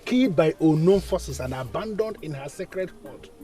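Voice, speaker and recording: A measured, thoughtful man, played by an actor, with a mellow, darkish voice. He sounds set back from the mic, with faint music underneath. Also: on the high side, animated, natural voice. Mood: grave. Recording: distant voice.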